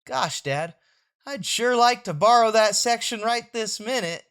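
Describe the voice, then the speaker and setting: A man voicing an excitable, scheming young boy from a comic strip with theatrical, exclamatory delivery. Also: high voice, measured pace, clean tone. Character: excitable, dramatic, scheming six-year-old boy.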